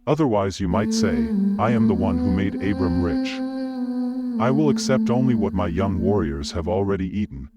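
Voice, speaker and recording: A man's voice: sits low, big pitch swings, normal speed. A man playing a solemn, resolute biblical patriarch swearing an oath in a grave, declarative manner for a dramatized scripture reading. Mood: solemn, firm. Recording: music bed behind the voice.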